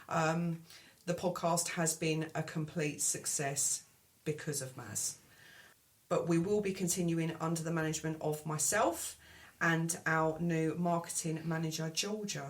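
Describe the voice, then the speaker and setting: A woman's voice: lowish voice, creaky. A woman hosting a college podcast in an upbeat, encouraging way. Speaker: podcast host.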